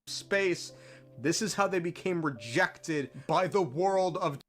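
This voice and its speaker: Animated high-pitched man recapping game lore. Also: slow pace, faint music behind. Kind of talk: energetic lore recap narration.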